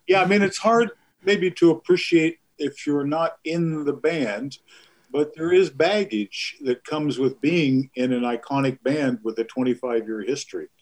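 A man's voice: fairly high pitch, unhurried. A male musician being interviewed, talking casually about his work.

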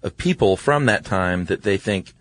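A man's voice: low-pitched, animated, moderate pace, a bit bright, dry recording. A man co-hosting a podcast in an informal, easygoing, conversational style. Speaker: podcast co-host. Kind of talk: casual conversational podcast chat.

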